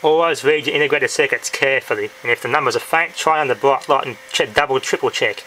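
A man's voice: mid-range voice, fast talker, pushed and bright. Man thinking aloud over noisy camera audio. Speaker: hobbyist presenter. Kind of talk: casual hands-on electronics demonstration. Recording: noisy amateur handheld camera audio with clicks.

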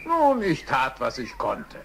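An actor voicing a helpful, bossy animal friend in a children's radio play. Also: male voice, mid-range voice, wildly swooping pitch, slow pace, creaky, a little hiss or hum, exaggerated voice. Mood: modest. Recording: vintage radio play with music underneath.

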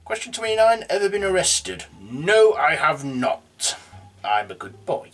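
Guy in his twenties rambling thru a tag vid at home, bit higher voice. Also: sing-song, a little slow, a bit bright, faint music behind. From Yorkshire, England. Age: 20s. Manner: rambling, with restarts, reading questions aloud. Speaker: YouTuber. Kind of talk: casual tag video. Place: room at home, indoors.

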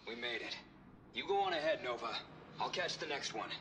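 An actor playing a gruff, evasive soldier in a video game, clipped and colloquial. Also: male voice, medium pitch, animated, a little fast, noisy background, lightly acted. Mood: urgent. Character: gruff, evasive male soldier, fellow covert operative.

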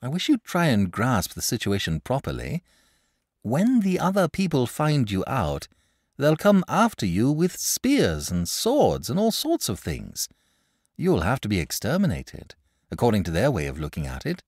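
A man's voice: medium pitch, huge pitch swings, normal speed, a little mellow, no room sound, clean studio sound, voice pushed up. A man voicing an earnest, sensible young boy who talks in a fretful, lecturing way.

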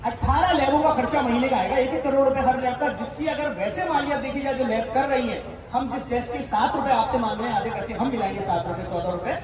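Man, Pakistani Urdu accent, high voice, indignant, very echoey, distant, loud crowd behind. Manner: indignant, explaining with figures. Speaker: charity organizer addressing a crowd. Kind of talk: public speech. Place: outdoor night gathering with a crowd. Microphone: handheld microphone, filmed from a distance.

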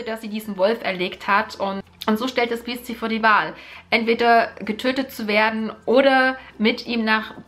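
A woman's voice: on the high side, a bit bright. A young woman from Germany talking casually and enthusiastically about a book series, with lots of fillers and repeated words, in a living room close to the camera. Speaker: book vlogger. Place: living room in front of a sofa.

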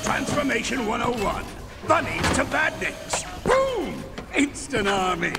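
Pompous, gravelly mad scientist villain. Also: male voice, very high voice, wildly swooping pitch, unhurried, over loud music, heavily acted. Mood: gloating. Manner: theatrical, gloating, sneering. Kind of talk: video game cutscene acting.

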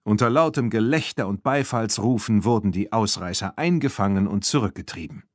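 A man narrates a storybook in a fairly low voice. His delivery is measured and clearly articulated, and the sound is dry and close, with no room around it. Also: expressive, no room sound. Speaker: audiobook narrator.

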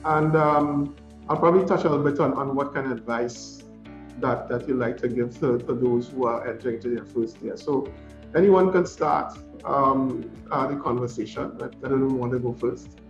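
A man, hosting an informal conversation in a halting, conversational way as a university principal. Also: mid-range voice, highs cut off. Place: office, indoors. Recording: roomy office sound, faint music bed.

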